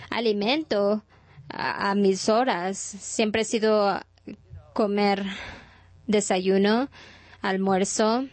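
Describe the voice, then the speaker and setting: A woman's voice: mid-range voice, no echo. A woman delivering a sermon in Spanish translation, talking in a conversational storytelling way with frequent restarts.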